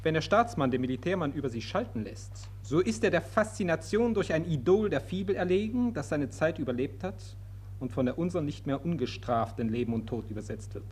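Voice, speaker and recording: Male actor as caustic grumbler, sardonic, lively intonation, faintly noisy old radio recording. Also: a little high, brisk, lightly acted. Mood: biting. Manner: caustic, pointed, epigrammatic. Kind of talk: 1940s German radio play acting.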